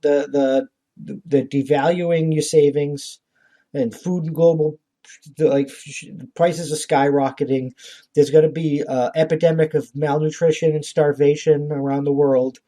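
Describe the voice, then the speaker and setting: Mellow-toned man, blunt political podcast rant. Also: on the high side. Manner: profane, blunt; indignant, exasperated. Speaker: podcast host. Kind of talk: informal political commentary rant.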